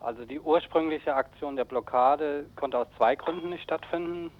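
A man's voice: on the high side, moderate pace. A man being interviewed on the radio as an activist, explaining things informally in a matter-of-fact way. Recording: slightly dull radio recording.

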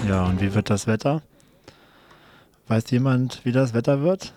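A man's voice: medium pitch, measured pace. A man hosting a radio show in a casual style. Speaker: radio host. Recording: music playing behind the voice. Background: music.

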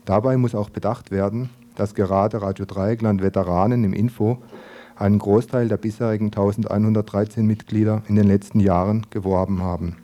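Male community radio presenter, lowish pitch, soft dark tone, scripted news commentary delivery. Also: steady tone, brisk. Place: radio studio.

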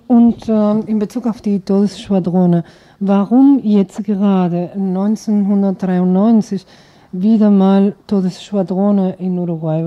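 A woman working as a radio interviewer, asking questions in an unscripted conversational way. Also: medium pitch. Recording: dull, band-limited radio recording.

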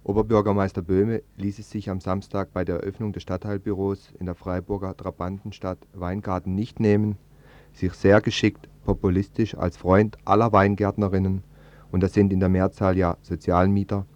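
A man's voice: sits low, flat delivery, normal speed, darkish tone. A man delivering a scripted news report as a local radio reporter. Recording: slightly dull lo-fi radio recording.

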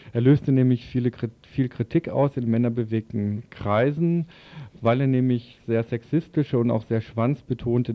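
Man, radio news summaries, dark soft timbre, very dry close sound. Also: medium pitch, dry recording.

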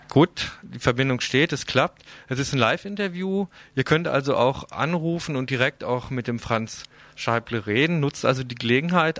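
A man's voice: mid-range voice, a bit bright, no room sound. A man presenting live radio news and interviews in an informal, slightly hesitant manner.

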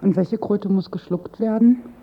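A woman's voice: mid-range voice, fairly even intonation, measured pace, husky. A woman working as a radio interviewer, putting short, informal questions. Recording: dull, slightly noisy radio recording.

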